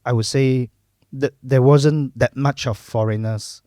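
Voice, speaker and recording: A middle-aged Malaysian man whose first language is Cantonese, chatting in a relaxed, reflective way as a podcast guest. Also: mid-range voice, unhurried, no echo, studio quality. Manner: relaxed, reflective, lots of fillers and "lah" particles. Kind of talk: casual interview chat.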